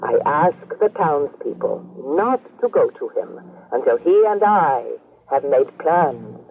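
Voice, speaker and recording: Slow, earnest radio-drama man, muffled tape. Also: a little high, very animated. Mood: earnest, urgent. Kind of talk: 1980s radio drama acting. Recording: muffled phone-like radio tape, clear noise.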